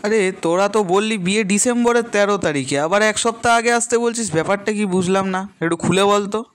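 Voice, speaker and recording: A man's voice: sits high, fairly quick, pitched above the performer's own voice, lightly acted. A man playing a young office worker in colloquial, questioning dialogue with an old friend in a dramatized story. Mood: puzzled, animated. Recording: loud guitar music behind voice.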